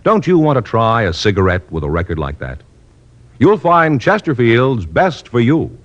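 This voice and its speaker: A man announcing a cigarette commercial on 1950s radio with an emphatic sales pitch. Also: big pitch swings, moderate pace. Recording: dull old radio broadcast.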